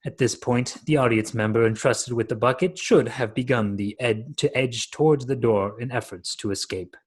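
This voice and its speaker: A man's voice: mid-range voice, normal speed, slightly rough. A man in his thirties reading scripted stage directions as the narrator of a radio play, over a video call from a room at home.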